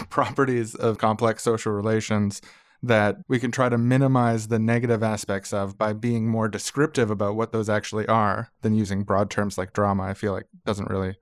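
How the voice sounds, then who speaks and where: A man's voice: on the low side, no echo, studio quality. A man hosting a comedy podcast, talking through ideas in a wordy, analytical, discursive style.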